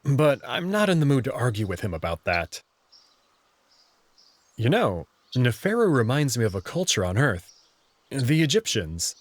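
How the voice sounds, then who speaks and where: A man's voice: medium pitch, big pitch swings, normal speed, pauses often, dry recording. A man reading first-person visual novel narration and dialogue as the story's protagonist.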